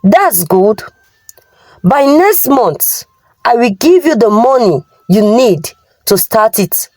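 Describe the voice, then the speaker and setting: Woman as a stern, slow-spoken Nigerian elder brother. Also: on the high side, very animated, a little mellow, no echo. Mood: measured. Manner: admonishing, questioning. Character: stern, responsible elder brother, exasperated but providing.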